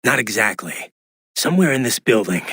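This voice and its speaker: An actor, a man, playing a gruff, wry cyborg soldier in a video game, with a gravelly, raspy voice and a laconic, informal way of talking. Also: medium pitch, very animated, moderate pace, background noise. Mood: wry, casual. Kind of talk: scripted video game voice acting.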